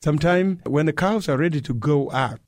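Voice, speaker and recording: A man's voice: fairly high pitch, a little fast, darkish tone, no echo, studio quality. A man from South Sudan, a radio interview guest, recalling his childhood unhurriedly and repeating words for emphasis. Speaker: guest, human rights activist. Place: radio studio.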